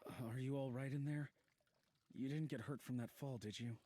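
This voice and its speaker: A man voicing a gentle, concerned man in a visual novel game, with a husky voice and an earnest, hesitant manner. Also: medium pitch, fairly even intonation, normal speed, natural voice. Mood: worried, tender.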